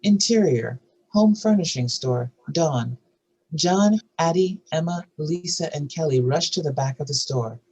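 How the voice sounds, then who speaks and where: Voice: mid-range voice, expressive, unhurried, darkish tone, slightly rough, highs cut off. A narrator reading scene headings and action lines from a screenplay at a table read. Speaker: narrator reading stage directions.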